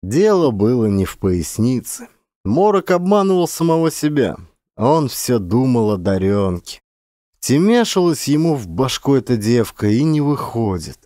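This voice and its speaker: A man's voice: medium pitch, sing-song, a little slow, dark tone, dry recording, clean studio sound. A man narrating a literary story as an audiobook actor, with dramatic, varied emphasis.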